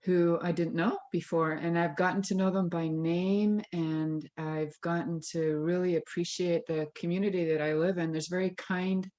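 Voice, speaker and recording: A woman's voice: fairly low pitch, steady tone, moderate pace, hardly any pauses, warm tone. Reflective woman with an American accent. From Scotland. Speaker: congregation member. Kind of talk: casual sharing.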